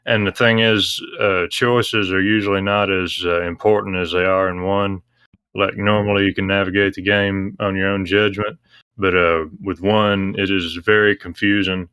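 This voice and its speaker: A man with a low, bright voice explains things in a casual, halting way. His pitch moves little, and the sound is dry and close. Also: little pitch movement, clear, resonant tone, no echo. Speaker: discussion panelist on a visual novel talk stream. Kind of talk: casual discussion.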